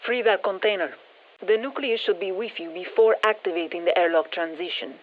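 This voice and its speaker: A woman playing a composed female scientist who guides a mission in a video game, giving measured, businesslike instructions. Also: lowish voice, phone-quality sound, lightly acted. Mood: focused, instructive. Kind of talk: scripted video game voice lines. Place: dry studio.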